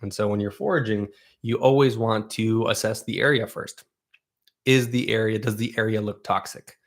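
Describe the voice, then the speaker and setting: A man's voice: fairly low pitch, steady tone, normal speed. A man whose first language is Russian, from Oregon, hosting a livestream and answering viewer questions in a loose, rambling way. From Southern Oregon, USA. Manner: rambling, conversational, with frequent restarts. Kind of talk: casual chat.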